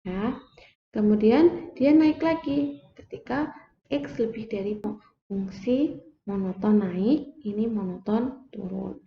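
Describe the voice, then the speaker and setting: A young woman explaining a math lesson slowly, step by step, like a teacher at a board. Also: medium pitch, slight background noise. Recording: echoey room, dull lo-fi sound.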